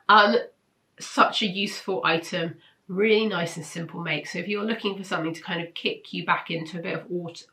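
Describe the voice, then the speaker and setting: A woman's voice: fairly low pitch, sharp tone. A British woman hosting a casual review vlog, chatty and enthusiastic, with a nasal, congested voice from a blocked nose and flu. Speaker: host of a weekly sewing pattern roundup. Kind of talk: casual chat review to camera.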